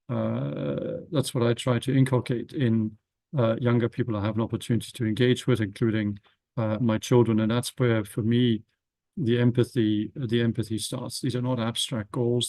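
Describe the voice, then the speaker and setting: A man speaking on a panel in a thoughtful, halting way, often restarting his sentences. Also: medium pitch, fairly even intonation, mellow, no echo. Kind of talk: reflective panel talk.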